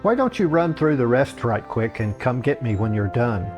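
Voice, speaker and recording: A man's voice: medium pitch, sing-song, moderate pace, music in the background, close to an everyday voice. Man putting on a grumpy, grandiose old priest. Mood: grumpy, resigned. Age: older. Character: grumpy, weary old high priest, pompous and exasperated.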